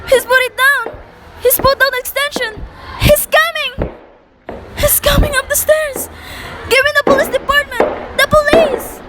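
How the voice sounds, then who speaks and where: A woman's voice: very high-pitched, steady tone, normal speed, a bit bright, slight background noise. A woman playing a demanding, nervous invalid wife in an amateur radio play, imperious, frantic and melodramatic. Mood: terrified, desperate.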